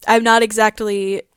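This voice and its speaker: Female podcast co-host, medium pitch, casual story discussion, dry studio-like sound with no room echo. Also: a bit bright, clean tone.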